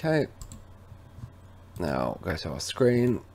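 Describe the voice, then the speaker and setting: A man presenting an informal, instructional software walkthrough, talking casually to viewers as he goes. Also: deep voice, huge pitch swings, takes it slowly, slight background noise. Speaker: tech tutorial presenter. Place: indoors at a computer, voice over screen recording.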